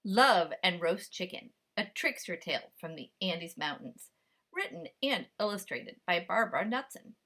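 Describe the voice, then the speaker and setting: A woman librarian reading a picture book aloud to children, with lively, expressive storytelling. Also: fairly low pitch, moderate pace.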